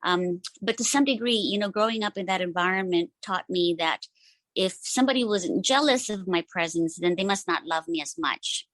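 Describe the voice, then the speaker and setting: Soft-spoken hesitant woman in her forties. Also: fairly low pitch, no room sound, poor audio. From Philippines. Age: 40s. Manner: hesitant, many ums and pauses. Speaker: meeting member sharing. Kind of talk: personal sharing at a support meeting.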